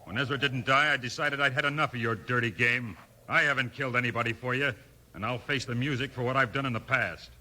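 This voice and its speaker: A man's voice: medium pitch, quick pace, pushed and bright. An actor playing a defiant, repentant outlaw gunman in a 1950s radio western. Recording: faintly noisy old radio recording.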